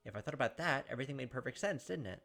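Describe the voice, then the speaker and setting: A man's voice: mid-range voice, expressive, racing, gravelly, fairly bright tone, faint music behind. A young man doing casual game commentary and reading story narration aloud. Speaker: gaming video host.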